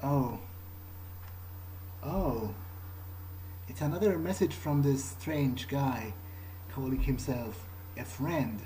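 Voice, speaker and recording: A man's voice: mid-range voice, takes it slowly, pauses often, dark tone, a little hiss or hum, poor audio. A man improvising aloud in character during a game playthrough, speaking in a hesitant, thoughtful, musing way. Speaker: gamer playing in character. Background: game music.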